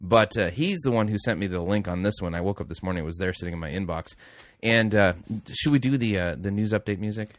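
A man's voice: fairly low pitch, fast, no room sound, clean studio sound. A middle-aged man hosting a talk show, reading news aloud with rambling, self-correcting, wry asides. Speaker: radio host. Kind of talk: casual talk show commentary.

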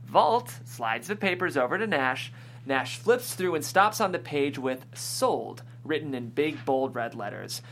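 A man narrates stage directions for a comedy script read. His fairly high voice is very animated in its rise and fall. A clear hum is audible behind him. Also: a little slow. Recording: clear background noise and hum.

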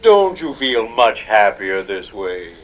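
An actor voicing a solemn, kindly inner conscience in a 1950s radio comedy, gentle and admonishing. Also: male voice, on the low side, very animated, slow, exaggerated voice. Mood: solemn approval.

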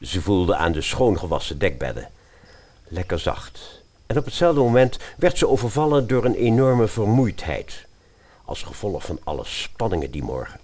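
A man's voice: low voice, sing-song, normal speed, darkish tone. A man narrating a scripted fairy-tale radio play as the storyteller.

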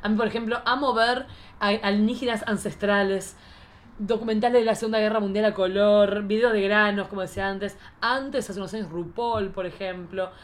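A woman's voice: mid-range voice, fairly even intonation, normal speed, slight background noise. An Argentine woman hosting a casual chat in a playful, slangy, joking manner. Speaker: host.

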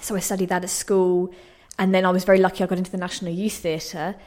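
A woman's voice: fairly low pitch, flat delivery, fast talker, a little mellow. A young woman talking casually as a radio interview guest, telling personal stories in a modest, good-humoured, slightly nervous way. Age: young adult. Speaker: interview guest, playwright and actor. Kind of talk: casual, chatty personal storytelling. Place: radio station.

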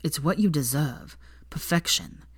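A woman's voice: low-pitched, animated, a little slow, slightly rough, no echo, studio quality, exaggerated voice. A woman voicing an anxious, stubborn young man in love, self-conscious and easily flustered, in an audiobook-style story reading. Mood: miserable, sulky.

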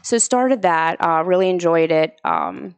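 A woman's voice: lowish voice, huge pitch swings, a little slow, creaky, smooth. A woman in her thirties from Pennsylvania, a podcast guest in casual chat, upbeat and eager. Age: 30s. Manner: upbeat, eager, laughs easily.

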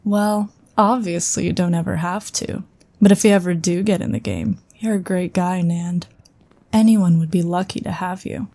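An actress playing an earnest psionic agent in a sci-fi audio drama, speaking conversationally. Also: female voice, fairly low pitch, steady tone, moderate pace, dark tone. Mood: warm, grateful. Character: earnest female psionic agent, loyal but wary.

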